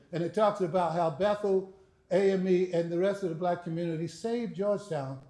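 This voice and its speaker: An older man giving a speech to a live audience, first a dramatic reading and then a relaxed chat, laughing. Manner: booming oratory with rising emphasis; chatty, laughing, folksy asides. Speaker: speaker and author. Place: library event hall. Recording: echoey room.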